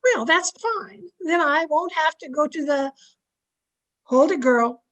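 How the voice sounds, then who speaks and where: A dotty, eager neighbour friend played by an actress in an old radio comedy. The voice is high and chirpy, with a gushing, sing-song delivery. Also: female voice, animated, takes it slowly, fairly bright tone, full character voice.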